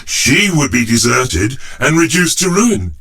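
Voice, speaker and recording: Slow, swooping pompous old gentleman. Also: medium pitch, sing-song, vocal fry, breathy edge, full character voice. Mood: indignant, insistent. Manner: pompous, emphatic, old-fashioned speech. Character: very old talking chair as pompous old gentleman, cantankerous, knowing. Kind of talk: theatrical audio drama dialogue.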